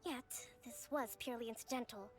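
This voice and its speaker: A woman plays a composed young strategist who speaks formally and courteously in a high, light voice. Soft game music plays faintly beneath her. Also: a little fast, close to an everyday voice.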